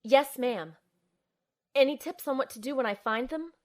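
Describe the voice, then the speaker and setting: A woman's voice: high voice, moderate pace, close to an everyday voice. A woman playing an earnest, determined young operative, telling and acting out her mission expressively in an audio drama. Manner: expressive, storytelling. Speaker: narrator and lead character.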